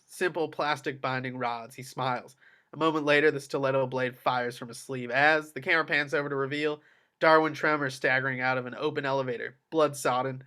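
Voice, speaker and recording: A man's voice: on the high side, sharp tone. A man reading screenplay action aloud as a narrator, with theatrical, emphatic delivery. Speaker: table read narrator.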